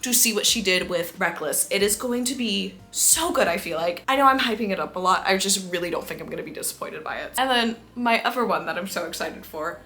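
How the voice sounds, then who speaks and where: A woman talking casually to viewers as a book vlogger, with a gushing, excitable and emphatic manner. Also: medium pitch, brisk, few pauses, soft background music.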